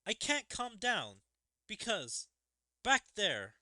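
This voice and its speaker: A man's voice: high voice, huge pitch swings, takes it slowly, pushed and bright, no echo, studio quality, voice pushed up, exaggerated voice. A man voicing an earnest, emotional young daughter from a fantasy game. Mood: wistful, uneasy. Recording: game music behind the voice.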